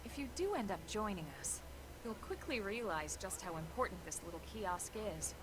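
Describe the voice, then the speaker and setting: A woman's voice: mid-range voice, big pitch swings, normal speed, background noise, lightly acted. An actress playing a composed, sensible female officer in a video game, patiently guiding a newcomer. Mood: calm instructive. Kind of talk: video game cutscene voice acting.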